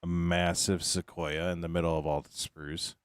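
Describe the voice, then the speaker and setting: A man with a deep, low voice chatting casually as a game streamer, musing and repeating himself as he thinks aloud. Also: level tone, normal speed, smooth, dry recording. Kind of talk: casual chat while playing.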